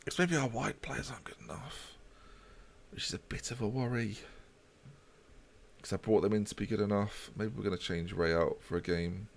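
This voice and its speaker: A man's voice: fairly low pitch, normal speed, vocal fry. A man casually chatting with his live-stream viewers while playing a football management game. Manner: relaxed, chatty, talks to chat while playing.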